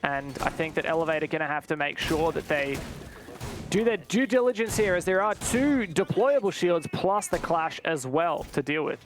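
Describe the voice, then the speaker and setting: A man working as a play-by-play caster, commentating live on an esports match with fluent analysis that can rise into excited calls. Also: fairly high pitch, moderate pace, background noise.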